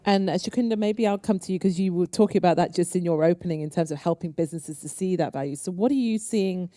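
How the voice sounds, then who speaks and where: Woman, Yorkshire accent, panel moderator, slightly low pitch, mellow tone. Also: quick pace, dry recording, clean studio sound. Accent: Yorkshire English. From Yorkshire, England. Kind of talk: hosting a live panel discussion.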